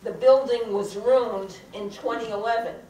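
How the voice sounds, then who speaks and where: An older woman speaking at a podium during public comment at a council meeting, deliberate and halting with frequent fillers. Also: fairly high pitch, steady tone, warm tone, a little hiss or hum. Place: large crowded council chamber. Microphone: podium microphone, heard from a far camera. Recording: echoey chamber recorded from far off.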